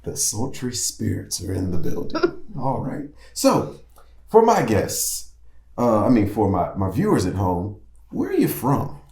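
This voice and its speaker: A man hosting a playful comedic interview, speaking in theatrical, mock-formal phrases with drawn-out hums. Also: on the low side, wildly swooping pitch, a little mellow, echoey room. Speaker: talk show host.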